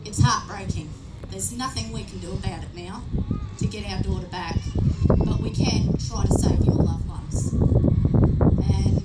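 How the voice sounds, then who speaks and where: Emotional Australian woman at an outdoor rally. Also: medium pitch. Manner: emotional, plain-spoken, halting with fillers. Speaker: grieving mother speaking at a rally. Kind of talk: public rally speech. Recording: distant echoey outdoor PA recording, loud ambient noise.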